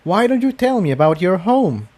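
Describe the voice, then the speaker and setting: Man voicing an earnest female pony sorceress, pitched up. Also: big pitch swings, normal speed, darkish tone. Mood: friendly.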